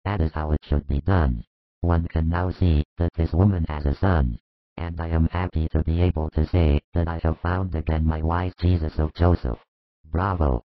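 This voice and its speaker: Brief: a man putting on a low, booming older kinsman, preachy and intolerant, dull lo-fi sound. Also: fairly even intonation, moderate pace, dry recording, soft background music. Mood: approving. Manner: admonishing, pompous. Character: stern older male kinsman, proud and intolerant. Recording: dull lo-fi recording.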